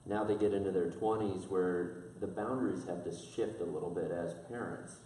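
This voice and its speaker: A man in his thirties hosting the interview, asking measured questions and affirming his guests. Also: deep voice, fairly even intonation, mellow, a little hiss or hum. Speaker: interviewer, podcast host. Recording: very echoey room from a distance.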